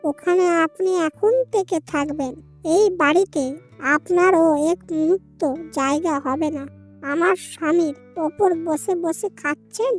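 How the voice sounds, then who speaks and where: A woman's voice: very high-pitched, vocal fry, heavily acted. A woman voicing a cruel, domineering young daughter-in-law in a melodramatic cartoon, harsh and bossy. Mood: angry, scornful. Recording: music under the voice.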